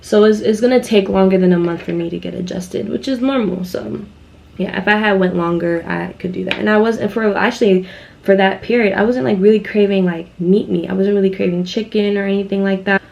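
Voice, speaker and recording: A woman's voice: medium pitch, fairly even intonation, fairly quick, creaky, darkish tone. A young woman chatting casually to her viewers as a vlogger, in an informal, rambling, slangy way with lots of filler "like". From Ghanaian background. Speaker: YouTube vlogger. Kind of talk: casual chat to viewers, personal storytelling.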